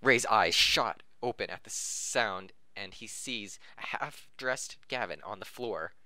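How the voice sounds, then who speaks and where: A man's voice: lowish voice, measured pace, sharp tone, no echo. A man reading a story aloud as an amateur podcast host, giggly and tripping over words. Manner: stumbles over the text, giggly.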